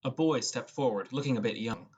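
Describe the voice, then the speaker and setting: A young man narrates an audiobook in the first person, reading from a script. His voice sits at an ordinary middle pitch. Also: brisk, darkish tone, breathy edge, muffled recording.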